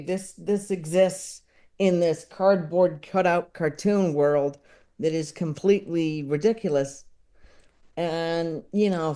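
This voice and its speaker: Mellow guy as podcast guest, casual opinionated chat, kinda hesitant, thinking out loud. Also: high voice, clean tone. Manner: hesitant, thinking aloud, analytical. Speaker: podcast guest, comedy enthusiast.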